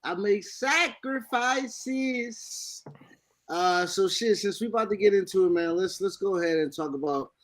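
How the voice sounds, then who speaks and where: A man from New co-hosting a casual wrestling podcast, loud and slangy with hype-man energy. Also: high voice, a little slow. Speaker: podcast co-host.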